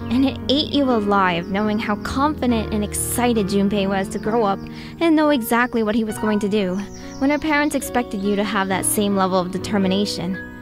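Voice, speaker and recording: A woman's voice: mid-range voice, brisk, over music, lightly acted. A young woman acting a supportive, spirited adventurer in an audio drama. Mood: gentle, encouraging.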